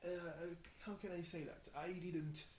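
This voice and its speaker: A tired young man rambles casually through a video diary, halting and thinking aloud, often questioning himself. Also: fairly high pitch, fairly even intonation, a little mellow. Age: young adult. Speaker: video blogger. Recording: roomy echo, faint background noise.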